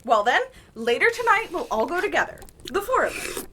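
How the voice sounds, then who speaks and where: Woman as a high, swooping, wry young adventurer. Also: very animated, fairly quick, noisy background. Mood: curious. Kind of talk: audio drama acting.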